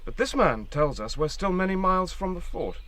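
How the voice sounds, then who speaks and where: Radio drama role: stiff army officer, higher male voice, very animated intonation, officious command. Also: brisk, no echo, exaggerated voice. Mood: formal, composed. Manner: formal, officious.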